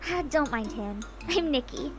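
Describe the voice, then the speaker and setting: A woman's voice: sits high, lively intonation, normal speed. A woman playing a teasing, easily exasperated young girl in a voiced visual novel. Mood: friendly. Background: game music.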